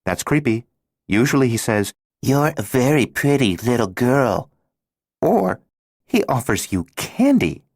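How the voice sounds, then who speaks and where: A man voicing an earnest, gentle twelve-year-old girl who speaks in a measured way. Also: medium pitch, very animated, dry recording, studio quality, pitched above the performer's own voice. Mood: uneasy.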